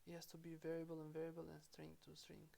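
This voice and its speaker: A woman's voice: deep voice, monotone, quick pace, gravelly, dark tone, slight background noise. A woman from Albania with an Albanian accent, teaching programming in a screen-recorded tutorial and spelling code out step by step. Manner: explains step by step, spelling out code aloud, with small self-corrections.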